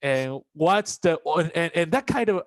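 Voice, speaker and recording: A man's voice: fairly high pitch, lively intonation, a little slow, dry recording, clean studio sound. Reflective young man from Taiwan. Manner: reflective, restarts often. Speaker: international student mentee. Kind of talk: casual conversation.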